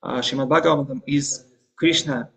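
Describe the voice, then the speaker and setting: A man with a Russian accent, a native Russian speaker, giving a scripture class in English with many pauses, fillers and restarts. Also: mid-range voice, fairly even intonation, vocal fry, fairly bright tone, low-quality recording. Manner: halting, frequent fillers and restarts; hesitant non-native English. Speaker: guest lecturer.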